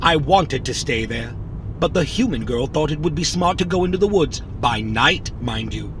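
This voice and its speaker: Small earnest robot for a kids' audio drama, played by a man: higher register, matter-of-fact, childlike, a touch smug, light vehicle rumble. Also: animated, moderate pace, natural voice. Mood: smug, grumbling. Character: small earnest literal-minded male robot, a child-like son.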